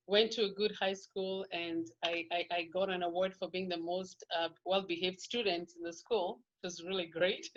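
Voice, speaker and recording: Kenyan woman, middle-aged, lowish voice, rambling interview guest, dull lo-fi recording. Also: fairly bright tone. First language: Kikuyu. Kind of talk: casual life-story interview.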